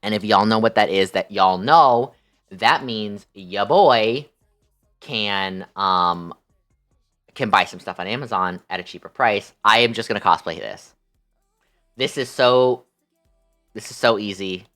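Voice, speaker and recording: A man's voice: fairly low pitch, unhurried, long pauses, bright, forceful tone, soft background music. Young man chatting playfully on livestream. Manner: playful, affectionate, blows kisses to chatters. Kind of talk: casual livestream chat with viewers.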